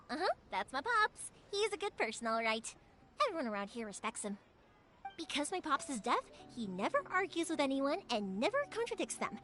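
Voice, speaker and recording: A woman voicing a cheerful, warm-hearted young woman in a video game, chatty and casual, with music playing behind her. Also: sits high. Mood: easygoing, fond, then fond, reflective. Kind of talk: English video game character acting.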